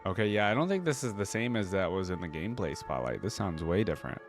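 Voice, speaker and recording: Low-voiced man casually reacting, chatty. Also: animated, fast talker, music in the background. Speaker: reaction video host.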